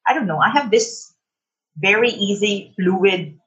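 Filipino woman guest, Tagalog native, animated and giggly, lo-fi sound. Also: mid-range voice, unhurried. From Philippines. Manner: animated, giggly, self-assured. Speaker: business coach, guest. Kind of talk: casual interview.

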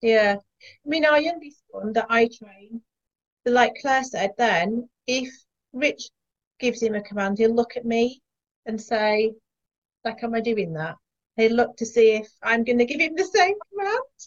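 A woman talks about her dogs in a gentle, self-deprecating way and laughs softly at herself. Her voice is slightly high for a woman. The recording comes through dull and lo-fi. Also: lively intonation, measured pace. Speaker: podcast guest and dog handler. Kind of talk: casual chat. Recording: dull lo-fi call audio.

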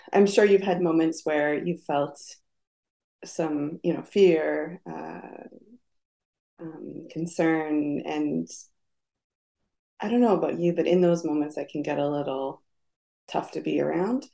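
Female podcast host, unhurried, lowish pitch, asking gentle, searching questions. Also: steady tone, creaky. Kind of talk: interview questions.

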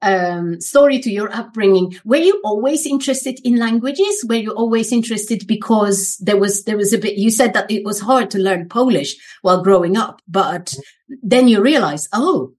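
A woman hosting an interview who asks questions in an encouraging way, with soft "um" and "mm" fillers. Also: medium pitch, moderate pace. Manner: warm and encouraging, fills with "um" and "mm". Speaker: interview host.

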